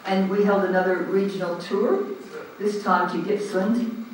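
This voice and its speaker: A man's voice: sits high, steady tone, a little slow, slight background noise. Australian man chairing in an echoey hall. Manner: loud, informal, hesitant with frequent ums. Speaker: meeting chair standing in for the president. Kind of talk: chairing a community meeting. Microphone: handheld microphone. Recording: echoey hall, recorded from a distance.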